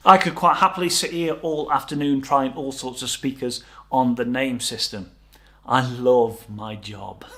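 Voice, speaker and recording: A man's voice: medium pitch, fairly even intonation, a little slow, a bit bright. A man presenting a product overview, moving between scripted technical narration and informal, understated personal opinion. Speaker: hi-fi shop presenter and reviewer.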